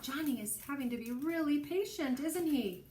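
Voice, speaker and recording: A woman teacher reading a children's storybook aloud expressively, pausing to explain things and put questions to the listener. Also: high voice, lively intonation, mellow, a little hiss or hum. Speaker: early learning teacher.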